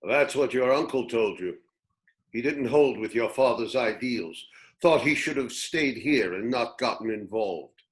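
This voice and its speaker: A man acts out a wise old hermit of the desert in a sci-fi script reading. He sounds gentle and reflective, at a middling pitch. He measures his words like a patient mentor. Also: a little slow, natural voice. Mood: reminiscing. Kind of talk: script table read acting.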